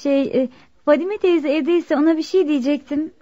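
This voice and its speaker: An actress playing a shy, polite young neighbour in a radio drama, speaking hesitantly and respectfully. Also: female voice, sits high, moderate pace, warm tone, lightly acted. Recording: dull lo-fi radio recording.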